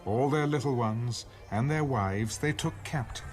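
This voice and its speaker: A man's voice: mid-range voice, a little slow, over music. A man narrating scripture as a dramatic, solemn storyteller in an audio Bible.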